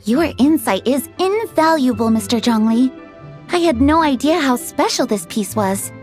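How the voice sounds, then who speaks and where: A refined young businesswoman for a video game. The voice is high, courteous and gracious, with polished phrasing. Soft music runs faintly beneath it. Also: expressive, moderate pace. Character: polite, refined young businesswoman antiques dealer, grateful and gracious.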